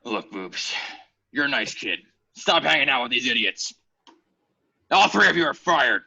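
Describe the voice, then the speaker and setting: An irritated, weary mine foreman, read by a man with a very sharp, forceful voice. The sound is a little dull, like a video call, in a fan-fiction table read. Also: medium pitch, sing-song, unhurried, gravelly, breathy edge, exaggerated voice. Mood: exasperated.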